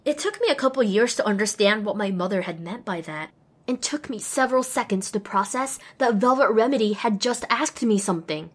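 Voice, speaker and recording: A woman's voice: mid-range voice, brisk, husky. A young woman voicing an earnest, self-deprecating young female pony apprentice, narrating an audio drama expressively and wryly. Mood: awed, excited. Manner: expressive, wry. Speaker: lead and first-person narrator.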